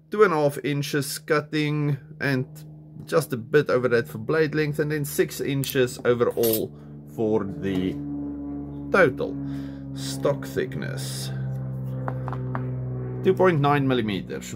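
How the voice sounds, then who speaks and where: A man's voice: mid-range voice, noisy background. A man from South Africa with a stuffy, nasal voice from a cold, chatting casually through a hands-on knife review at his desk, full of "okay" and "um". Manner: chatty, self-correcting, lots of "okay" and "um". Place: indoors at a desk. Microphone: camera on the desk, hands-only framing.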